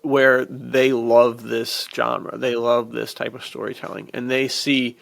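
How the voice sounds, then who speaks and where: A man's voice: medium pitch, level tone, slow pace, creaky, fairly bright tone. A man co-hosting a podcast about fiction, talking casually and emphatically in informal, slangy speech. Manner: emphatic, informal, peppered with "dude" and "like". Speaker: podcast co-host and fiction author. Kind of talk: casual enthusiastic podcast chat.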